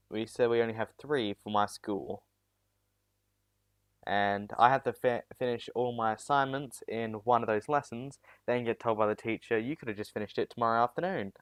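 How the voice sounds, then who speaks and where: A man's voice: lowish voice, clear, resonant tone, dry recording. Teen boy streamer from South Australia. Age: teens. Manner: rambling and self-deprecating, with many restarts. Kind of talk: casual live-stream chat. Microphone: new USB mic.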